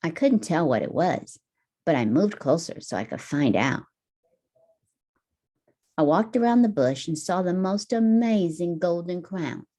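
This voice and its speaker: Low, mellow woman reading a picture book. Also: very animated, measured pace, pauses often. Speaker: teacher reading aloud to children. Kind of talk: expressive picture book read-aloud.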